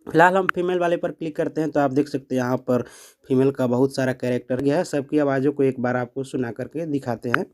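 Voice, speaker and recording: A man's voice: mid-range voice, quick pace, background noise. A man hosting a step-by-step app tutorial, explaining instructively to viewers. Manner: instructional, addressing viewers as friends. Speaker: tutorial host.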